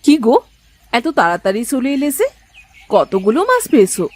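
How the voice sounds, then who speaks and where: Brief: cartoon wife, scornful nag, bright lively voice, female actor. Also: on the high side, moderate pace. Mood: questioning. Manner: scornful, nagging. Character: sharp-tongued scolding village wife. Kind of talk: Bengali cartoon voice acting.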